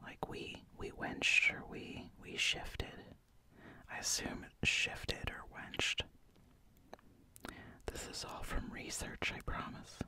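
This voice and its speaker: An ASMR host in a breathy, unvoiced whisper, softly explaining slang with hesitant pauses and repeated words.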